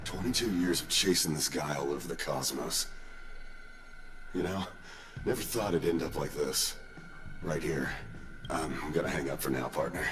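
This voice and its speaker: A gruff older detective from a video game, worn down by a long chase. His voice sits extremely low and sounds resigned and reflective. Music runs clearly behind it. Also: normal speed, pauses often. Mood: weary, reflective. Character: world-weary older male detective ending a long chase. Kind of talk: video game character dialogue.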